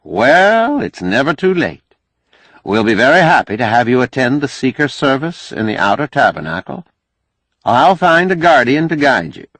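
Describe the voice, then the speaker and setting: A man voicing a folksy, pushy, glad-handing senator who preaches, loud and emphatic, for an audiobook. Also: medium pitch, sing-song, moderate pace, dry recording, pitched above the performer's own voice, low-quality recording. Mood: hearty, glad-handing. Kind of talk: audiobook character acting.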